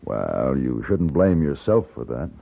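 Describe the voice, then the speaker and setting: An actor playing a steady, courteous American gunfighter in a radio western, speaking and narrating in a measured, gentlemanly way. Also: male voice, full character voice. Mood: kindly. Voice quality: deep, gravelly. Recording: muffled narrow-band old radio, faint hiss.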